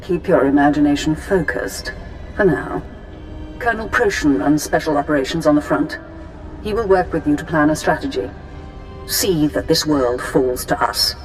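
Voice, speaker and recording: An actress playing a commanding, seductive Sith lord in a video game, speaking in a measured, imperious way. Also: female voice, low-pitched, natural voice, rough recording. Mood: cool command. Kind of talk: dramatic video game acting. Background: background music.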